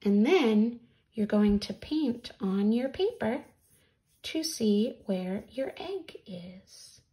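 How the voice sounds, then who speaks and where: A woman in her thirties, speaking deliberately as a teacher presenting read-alouds and crafts for children, recorded in a small room at home. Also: a little high, animated, warm tone.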